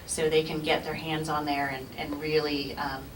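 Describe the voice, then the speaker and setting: A woman teacher with a low voice speaks gratefully and a little hesitantly. She sounds far from the mic, with clear room noise behind her. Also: little pitch movement, moderate pace. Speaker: special education teacher. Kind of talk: short acceptance speech.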